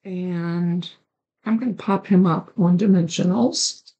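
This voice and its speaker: A woman with a raspy, hoarse voice hosting a card-making tutorial, chatting along as she works through each step. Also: fairly low pitch, slow, mellow, lo-fi sound. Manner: chatty, talks while working with her hands. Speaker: card-making channel host.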